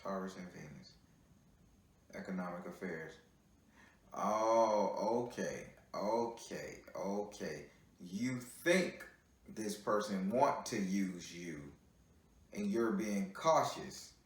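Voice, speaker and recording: A man's voice: low voice, lively intonation, takes it slowly. A man giving a tarot card reading on a call, chatty and teasing, repeating his words as he reads the cards. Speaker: tarot reader. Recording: heavy room echo.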